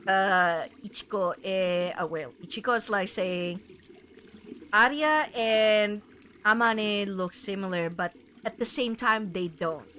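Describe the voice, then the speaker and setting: A woman game streamer chatting casually to her viewers in a rambling, halting way, full of filler words. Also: mid-range voice, bright, forceful tone, a little hiss or hum. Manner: rambling, halting, punctuated with "like" and "you know". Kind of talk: casual livestream chat to viewers.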